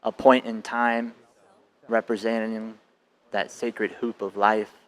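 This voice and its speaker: A man's voice: lowish voice, little pitch movement, slow pace. A man giving terse, reflective statements in short fragments.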